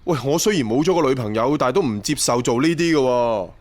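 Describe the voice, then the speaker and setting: A man's voice: medium pitch, expressive, fast, close to an everyday voice. An actor playing a naive but drily sceptical young man in a radio drama, with a Hong Kong accent and casual, plain-spoken delivery. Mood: bewildered protesting.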